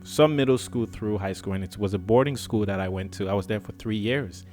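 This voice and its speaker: A man from Cameroon hosting a podcast, telling a personal story in a lively, casual way and acting out the dialogue. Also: brisk, soft background music. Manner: animated, acts out dialogue with swings in pitch. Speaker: podcast host.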